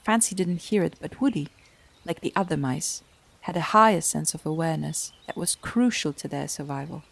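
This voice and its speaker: A woman's voice: deep voice, normal speed, gravelly. A woman narrating an animal tale as a scripted storyteller in an audio drama.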